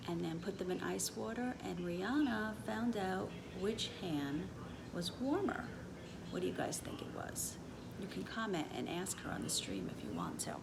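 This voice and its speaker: Animated woman reading a children's story. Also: on the low side, vocal fry, background noise. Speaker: teacher reading aloud to students. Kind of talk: expressive children's story read-aloud with asides.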